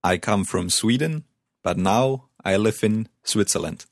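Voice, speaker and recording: A man's voice: on the low side, measured pace, dry recording, clean studio sound. A man co-hosting a language lesson, giving brief corrections and encouragement. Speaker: German language co-host.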